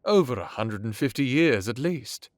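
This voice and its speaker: A man playing an ancient, courtly vampire lord in an audio drama, calmly authoritative, with formal, genteel phrasing. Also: medium pitch, moderate pace, no room sound, studio quality, natural voice. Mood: wry.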